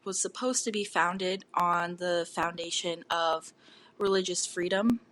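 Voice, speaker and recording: A woman leaving a personal voicemail in a measured, earnest way. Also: lowish voice, steady tone, fairly bright tone. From United States. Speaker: caller.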